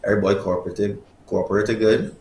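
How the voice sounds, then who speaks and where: A man's voice: on the low side, level tone, fast, a little mellow. A man who is an athlete and team manager, giving short interview answers. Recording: echoey room, faint noise.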